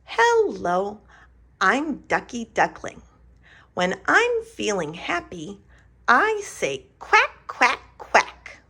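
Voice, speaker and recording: Woman reading as a bouncy little storybook duckling, sing-song, very slow, soaring way up on the quacks, breathy voice. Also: fairly high pitch, wildly swooping pitch, sharp tone, exaggerated voice. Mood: playful.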